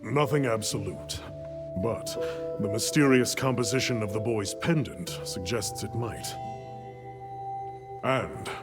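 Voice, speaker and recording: An actor playing a composed guild superior in a game cutscene, speaking formally and with measured deliberation. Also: male voice, lowish voice, loud music behind the voice. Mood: grave, measured. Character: composed, authoritative male guild superior giving orders. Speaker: guild official briefing a hunter. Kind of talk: cinematic game cutscene briefing.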